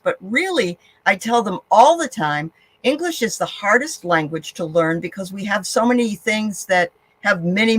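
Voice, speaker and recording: A woman's voice: medium pitch, no echo. A woman from the Boston area with a slight Boston accent, hosting a live interview in a chatty, encouraging way. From Boston area, Massachusetts. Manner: chatty, encouraging, frequent affirmations. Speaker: host. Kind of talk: casual live-stream interview.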